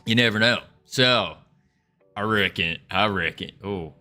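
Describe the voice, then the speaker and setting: Young guy on a livestream, bright voice a bit low, goofy put-on southern accent, folksy cowboy talk, some music in back. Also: measured pace. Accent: put-on American Southern. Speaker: live streamer chatting with viewers. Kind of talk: casual livestream chat.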